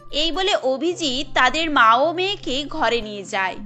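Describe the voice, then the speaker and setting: Bright, high-voiced woman storyteller. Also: expressive, measured pace. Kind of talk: scripted cartoon story narration. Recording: music bed under the voice.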